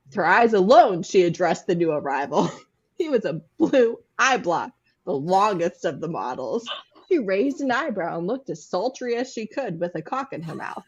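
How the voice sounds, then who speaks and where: A woman's voice: lowish voice, very animated, moderate pace, breathy edge, lo-fi sound. A woman doing a comic dramatic reading, trying to keep a straight face and sometimes breaking. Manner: straight-faced delivery that breaks into laughter. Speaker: guest reader on a live show.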